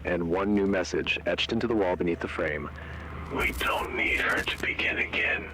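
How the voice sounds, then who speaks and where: A man playing a grave narrator and interrogator in scripted horror, speaking in an ominous, measured way. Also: low voice, sharp tone, slight background noise, rough recording.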